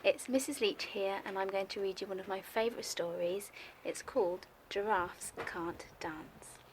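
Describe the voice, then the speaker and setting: A woman's voice: mid-range voice, normal speed, noisy background. A woman reading a story aloud to young children expressively, like a primary teacher.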